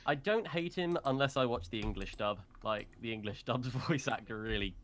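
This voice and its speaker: A man's voice: mid-range voice, moderate pace, a bit bright. Male voice actor, mid-range, informal livestream chat, slight background noise. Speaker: voice actor guest on a game livestream. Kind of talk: casual chat.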